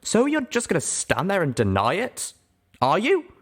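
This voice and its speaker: A man's voice: high voice, wildly swooping pitch, moderate pace, husky, voice pushed up, full character voice. A man voicing an indignant young female pony adventurer who protests earnestly in an audiobook story.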